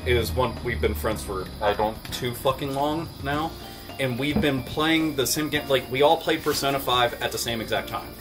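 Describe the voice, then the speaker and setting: A man's voice: medium pitch, moderate pace, music in the background. A man in his thirties hosting a casual chat among friends, swearing freely and laughing often as he talks. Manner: profane, animated, laughing often. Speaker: host. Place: indoor room with wood wall backdrop.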